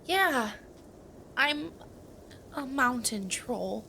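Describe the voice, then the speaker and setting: A woman's voice: high voice, animated, extremely slow, gravelly, bright, forceful tone, slight background noise, full character voice. A woman playing a timid, bookish young male mountain troll, hesitant and earnest, in a family audio drama. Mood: shy, gentle.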